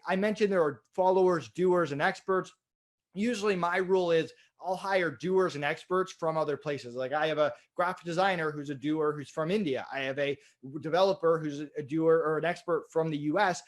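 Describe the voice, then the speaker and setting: A man with a fairly high-pitched voice presents a training webinar. He explains things in a conversational, informal manner, like a business coach talking a class through the steps.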